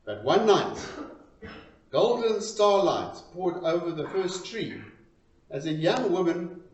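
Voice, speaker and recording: Male storyteller, slow and very animated delivery, dramatic pauses, recorded in a roomy space. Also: high voice. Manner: expressive, deliberate, pausing between short phrases. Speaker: reader of a poem. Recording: roomy, distant, dull lo-fi sound.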